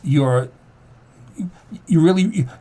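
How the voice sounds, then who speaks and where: An older man with an average-pitched voice talks through his ideas as an interview guest. He starts and restarts phrases, doubling words as he explains, in an unscripted, teacherly conversational way. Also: lively intonation, mellow. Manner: halting, repeats words, explanatory. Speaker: guest playwright and acting teacher.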